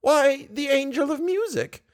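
A man's voice: very high-pitched, expressive, a little slow, voice pushed up. A man reading aloud, voicing a kindly, bedridden old woman who speaks with simple, cheerful faith. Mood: gentle.

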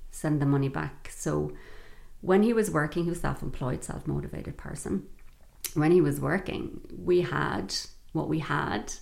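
This is a middle-aged woman from Dublin's north side with a clear Dublin Irish accent. Her voice sits low and has a heavy gravelly fry running through it. She talks through her memories at an easy, reflective pace, pausing on fillers. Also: darkish tone. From Ireland, Dublin north side. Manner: reflective, frequent fillers, laughs while telling stories. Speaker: guest. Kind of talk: conversational interview storytelling.

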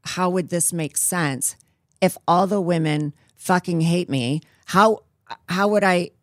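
A woman guest with a lowish voice, halting and full of restarts, yet blunt and willing to swear. The recording is dry and close. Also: no room sound. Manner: halting, hedging, frank, swears. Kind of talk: casual interview chat.

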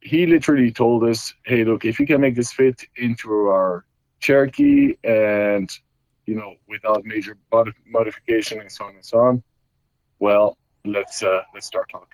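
A man's voice: on the low side, expressive, moderate pace, darkish tone, poor audio. A middle-aged man from Belgium, a guest explaining his custom Jeep builds in casual talk, with many fillers and restarts.

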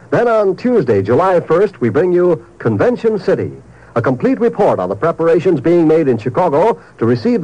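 Brisk male network promo announcer. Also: fairly high pitch, a little mellow. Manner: brisk, crisp, salesman-like. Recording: muffled old radio recording.

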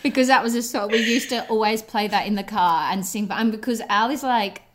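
A woman's voice: fairly high pitch, brisk. A woman, a comedy writer and performer, chipping into a radio chat with playful asides and laughter. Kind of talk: casual chat.

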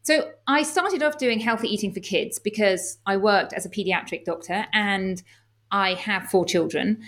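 A woman's voice: medium pitch, moderate pace, fairly bright tone. A middle-aged woman with an English accent, a former children's doctor, speaking as a guest on a podcast and explaining things at length in an upbeat, articulate way. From England. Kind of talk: podcast interview.

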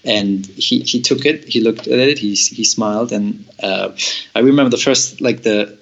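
A young man from Germany, a guest telling a long personal story with halting, repeated words and frequent laughs. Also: fairly low pitch, gravelly, highs cut off. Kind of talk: storytelling in an interview.

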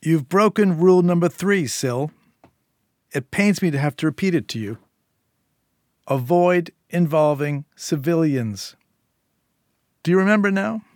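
A suave, coldly polite crime boss threatens in a smooth, lifted voice, as a male narrator puts him on. The menace stays courteous. The sound is dry and close, like a studio audiobook. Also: a little high, animated, a little slow, long pauses, no room sound, pitched above the performer's own voice. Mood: menacing.

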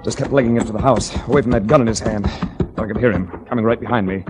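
Hard-boiled insurance investigator, 1940s radio male actor: low register, dry wit, clipped and tough. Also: quick pace, over music, lightly acted. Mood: tense narration. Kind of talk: 1940s radio detective drama, hard-boiled narration and dialogue.

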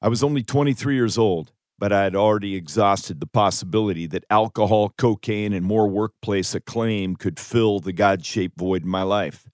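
Deep-voiced man narrating, close and dry. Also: moderate pace, hardly any pauses, a little mellow, no echo. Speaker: author reading own audiobook. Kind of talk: scripted audiobook narration, personal testimony.

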